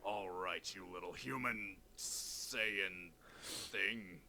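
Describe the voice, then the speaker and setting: A man's voice: deep voice, drawn-out words, vocal fry, sharp tone, slight background noise, full character voice. A man voicing a blunt, pompous, mocking authority figure in a cartoon parody dub. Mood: menacing, mocking.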